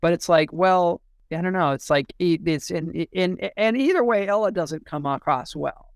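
A man in a casual literary discussion, thinking aloud in a halting way and often repeating and restarting his phrases. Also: sits high, clean tone, dry recording, clean studio sound. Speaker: podcast discussion co-host.

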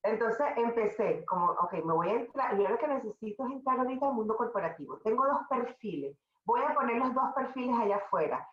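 A middle-aged Venezuelan woman, a podcast guest, telling her career story in a conversational, animated way with many restarts. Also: a little high, brisk, few pauses, reverberant, muffled recording. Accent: Venezuelan Spanish. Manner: animated, talks in restarts and asides.

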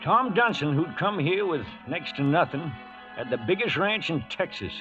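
An actor playing a garrulous, loyal old American cowhand with a toothless, folksy drawl in a 1940s radio western. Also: male voice, on the high side, lively intonation, normal speed, close to an everyday voice. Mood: reminiscing. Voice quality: toothless mumbling. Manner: folksy, ungrammatical frontier drawl. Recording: muffled 1940s radio broadcast, music behind.

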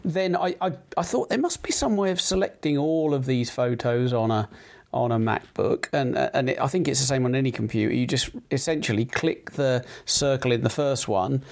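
A middle-aged man with a croaky throat, hosting a casual chat show, rambling with many fillers and restarts. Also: medium pitch, normal speed, a little mellow.

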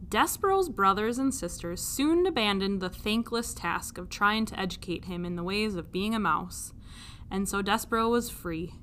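A woman reading a children's story aloud like a teacher, with expressive narration. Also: mid-range voice, a little hiss or hum.

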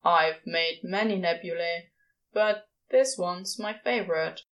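A woman voicing a laconic male supernatural being in a story, casual and halting, bashful with tenderness underneath. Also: on the low side, fairly bright tone. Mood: bashful pride. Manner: casual, halting, clipped phrasing. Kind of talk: podfic character dialogue.

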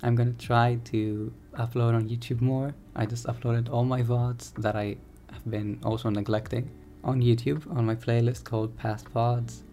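A young man speaks in a soothing, gravelly voice, set in a middle pitch. He rambles candidly and keeps correcting himself, in a casual podcast style recorded on a home streaming mic. A faint hiss sits under his voice. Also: little pitch movement, normal speed, warm tone. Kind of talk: casual personal podcast monologue. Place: home room.

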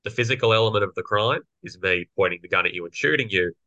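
A young man with a slightly croaky voice, co-hosting a casual political podcast and explaining legal points. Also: lowish voice, fast, bright, forceful tone, no echo, highs cut off. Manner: explanatory, lawyerly. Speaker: podcast co-host.

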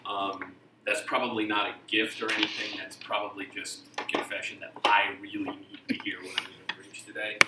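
A man's voice: lowish voice, level tone, fairly quick, far from the mic, very noisy. A middle-aged man from Texas, his accent mostly faded, preaching a sermon as a guest pastor with a conversational, self-deprecating humor. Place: church sanctuary. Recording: roomy church sound from off-mic.

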